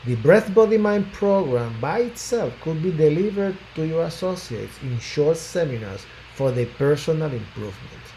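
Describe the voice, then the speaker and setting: Measured, mellow-voiced physician from Puerto Rico. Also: male voice, fairly high pitch, poor audio. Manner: measured, formal delivery that trails off at phrase ends. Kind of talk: prepared self-introduction. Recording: faint background noise.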